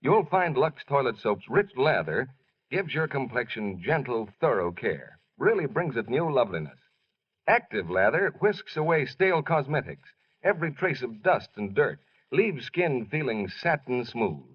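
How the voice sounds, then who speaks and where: A man announcing a polished 1940s radio commercial in a scripted sales style, with a husky voice. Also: mid-range voice, sing-song, normal speed. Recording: muffled vintage radio recording.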